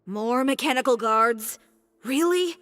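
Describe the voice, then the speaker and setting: A proud young designer heroine from a video game, with a fairly high voice. She speaks coolly and cuttingly, with resolute assurance, over light music. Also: lively intonation, measured pace, a bit bright, dry recording. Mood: scornful. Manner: cool, assertive, cutting.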